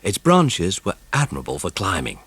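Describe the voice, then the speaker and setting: A man's voice: deep voice, huge pitch swings, normal speed, a bit bright, breathy edge, no room sound. Dry, close male storybook narrator. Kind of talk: scripted children's story narration.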